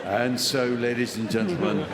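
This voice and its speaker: A man chairing a comedy panel show in front of a live audience, with a deadpan, mock-grandiose delivery. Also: mid-range voice, moderate pace. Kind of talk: scripted comedy hosting before a live audience. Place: theatre stage with live audience. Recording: echoey hall, noisy laughing audience.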